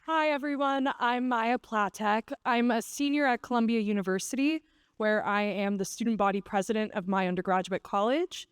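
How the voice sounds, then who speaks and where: A young woman who grew up in Japan, introducing herself in a composed, measured way as a student panelist. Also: a little high, clear, resonant tone, dry recording. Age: young adult. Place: conference stage.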